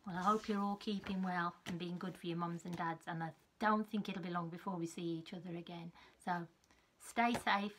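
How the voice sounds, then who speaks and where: A woman in her fifties reads a children's story in a measured, expressive way, with a slightly husky voice. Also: on the low side, slight background noise. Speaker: teacher reading a storybook to young children. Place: small furnished room at home, indoors.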